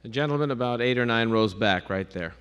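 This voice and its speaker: A man moderating a stage event, calling on audience members and relaying their questions in a brisk, plain way. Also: mid-range voice, a bit bright, clear, resonant tone. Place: large auditorium. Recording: long hall reverb.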